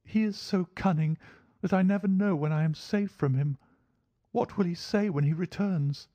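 Man acting a young gentlewoman, anxious, earnest, genteel, raised voice, storybook character, roomy sound. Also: high-pitched, moderate pace, muffled recording, voice pushed up, lightly acted. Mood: frightened. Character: anxious young English gentlewoman, eager and frightened.

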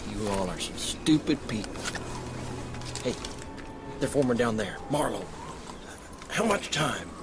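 An actor playing a cocky, breezy squad soldier in a war game, casual and irreverent. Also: male voice, mid-range voice, animated, unhurried, over music, lightly acted. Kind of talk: military game cutscene acting.

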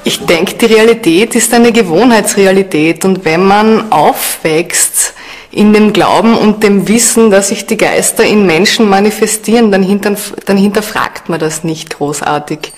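A woman's voice: medium pitch, measured pace. A woman speaking as an interviewed expert, explaining her field in spontaneous talk. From Austria. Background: background music.

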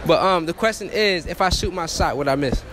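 Teen dude hosting mall interviews, high voice, teasing and bragging, noisy mall behind him. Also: male voice, a little fast, a bit bright. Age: teens. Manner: teasing, boastful, laughing. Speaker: host. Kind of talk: joking street interview. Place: indoor shopping mall. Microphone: handheld mic.

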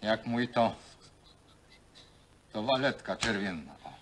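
A man's voice: fairly low pitch, expressive, takes it slowly, fairly bright tone. An actor playing a wry workman in a film, ribbing his mates with folksy quips. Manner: folksy, quipping. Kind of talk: naturalistic film acting. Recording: 1970 film soundtrack with faint noise.

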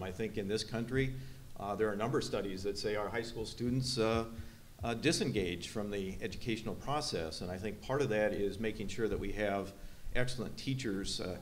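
A man in his fifties, a school board candidate, speaking in a measured way with frequent fillers into a desk mic. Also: fairly low pitch, a little hiss or hum. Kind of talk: forum statement. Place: meeting room at a panel table. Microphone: desk mic on a stand.

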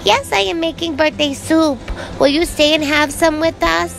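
A woman voicing an excitable little boy bear cub with an eager, childlike way of exclaiming, in a storybook reading. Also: high-pitched, normal speed, creaky, pitched above the performer's own voice. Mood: cheerful.

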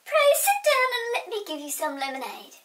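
A woman's voice: very high voice, sing-song, moderate pace, bright, forceful tone, recorded from a distance, pitched above the performer's own voice, full character voice. A woman voicing a small, fawning, over-polite male goblin in a children's storybook, gushing with courtesy.

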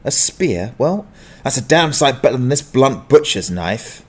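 A man voicing a gruff, sardonic, down-at-heel adventurer in a fantasy audiobook, grumbling in exasperation. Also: mid-range voice, big pitch swings, a little slow. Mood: weary muttering. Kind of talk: dramatized fantasy audiobook acting.

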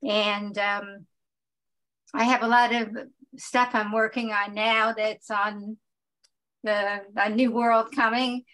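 A woman who writes books for young readers, introducing herself haltingly on a video call. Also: medium pitch, little pitch movement, a bit bright, rough recording. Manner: halting, with many fillers. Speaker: children's book author. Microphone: laptop on a video call.

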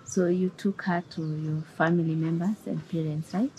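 A woman's voice: fairly low pitch, fairly even intonation, normal speed, a crowd in the background, low-quality recording. A woman interviewing a couple outdoors, asking short questions with encouraging prompts. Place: outdoors in a rural yard.

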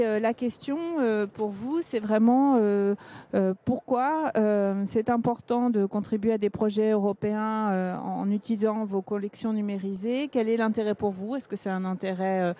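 A middle-aged woman moderating a conference panel into a handheld mic, speaking haltingly with many fillers. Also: mid-range voice, dark tone. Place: large conference stage indoors.

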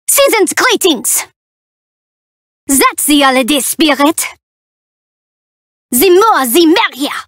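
A woman's voice: very high voice, a bit bright, dry recording, studio quality, heavily acted. A woman voicing a playful, cheeky young half-tiger catgirl thief for a video game, perky, teasing and theatrical. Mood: bright, cheery. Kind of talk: video game character voice lines.

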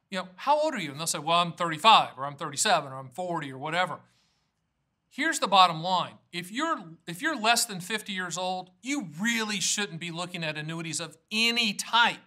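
Male, American, informal video presenter: high, very forceful voice, emphatic and down-to-earth delivery. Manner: emphatic, folksy, plain-spoken. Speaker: annuity agent hosting an educational video series. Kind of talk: informal explainer presentation to camera.

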